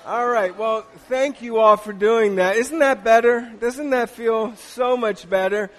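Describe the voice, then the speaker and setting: High-voiced man preaching casually. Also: fairly bright tone. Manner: conversational, halting with restarts, chuckling asides. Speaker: pastor. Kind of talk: informal sermon to a congregation. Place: church sanctuary.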